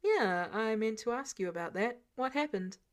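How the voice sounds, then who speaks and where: A woman's voice: a little high, animated, normal speed, close to an everyday voice. A woman voicing a wry, protective grown man, a superhero mentor with a casual, sardonic manner, in a story reading. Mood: careful, casual. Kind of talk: character dialogue in fan fiction reading.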